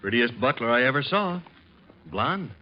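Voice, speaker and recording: A man's voice: medium pitch, sing-song, moderate pace, lightly acted. A man playing a brash, flirtatious American detective's assistant in a 1950s radio drama, glib and teasing. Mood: breezy, teasing. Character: wisecracking, flirtatious male private detective's assistant, brash and breezy. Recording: dull vintage radio recording.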